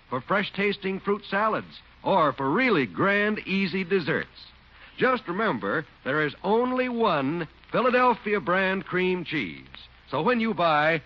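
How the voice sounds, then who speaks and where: A male announcer from the golden age of radio, polished and emphatic in his delivery. His voice is on the high side and very melodic, on a muffled old recording. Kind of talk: 1940s radio announcing and advertising. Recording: dull vintage radio recording.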